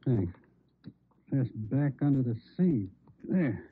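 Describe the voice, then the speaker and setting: A man's voice: medium pitch, very animated, slow pace. Terse police lab man, tinny sound. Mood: absorbed, casual. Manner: casual, terse, absorbed in his work. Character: methodical, laconic police crime lab technician. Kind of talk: old-time radio drama dialogue. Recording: tinny, faintly noisy old radio recording.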